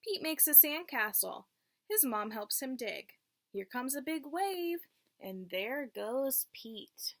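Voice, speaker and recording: A woman's voice: high-pitched, sing-song, slow, fairly bright tone. A woman reading a picture book aloud to young children, teacher-like, in simple phrases and checking in with questions.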